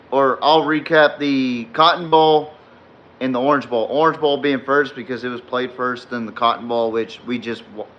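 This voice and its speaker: Hoarse, slow-talking man hosting a sports podcast. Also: medium pitch, lo-fi sound, slight background noise. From Texas fan, not necessarily from Texas. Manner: rambling, filler-heavy, reads and answers chat comments. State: slightly hoarse. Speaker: podcast host. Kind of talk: live-streamed sports podcast recap.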